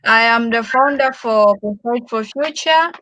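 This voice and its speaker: A young woman talks earnestly about farming, leaning on "like" and "you know". Her voice is bright and a little high, recorded close and dry with no room sound. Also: measured pace, no room sound, muffled recording. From Zambia. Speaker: agriculture student panelist. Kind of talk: online panel discussion.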